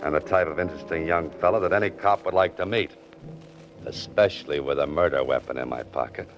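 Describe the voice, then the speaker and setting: An actor playing a wry young fiction writer caught up in crime, in 1940s radio style with an American accent and a sardonic, hard-boiled delivery. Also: male voice, very deep voice, a little fast, lo-fi sound, soft background music. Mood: deadpan, evasive. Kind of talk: 1940s radio acting, first-person noir narration.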